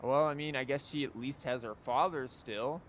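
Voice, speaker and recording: A man's voice: medium pitch, normal speed, a little hiss or hum. A man doing casual live game commentary as a streamer, rambling and wry. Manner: wry, rambling, mock-quoting. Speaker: game livestreamer.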